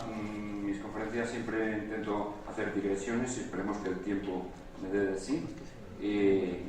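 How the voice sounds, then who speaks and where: A man from Spain with a Spanish accent giving a live conference talk, hesitant and full of filler sounds as he addresses the audience. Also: lowish voice. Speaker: conference speaker. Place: conference hall. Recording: roomy hall sound from far off with faint noise.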